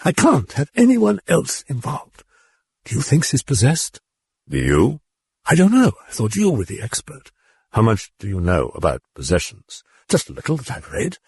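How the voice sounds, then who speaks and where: A man voicing a desperate, distressed mother, pitched well up from his own voice. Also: wildly swooping pitch, normal speed, vocal fry, slightly rough, no echo, exaggerated voice.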